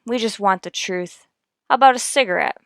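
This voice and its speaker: An actress playing a cool, persistent police detective in a radio crime drama, questioning in a clipped, deadpan, needling way. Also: female voice, medium pitch, brisk, creaky, no echo, clean studio sound. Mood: pressing, cool. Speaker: voice actor in an audio police drama.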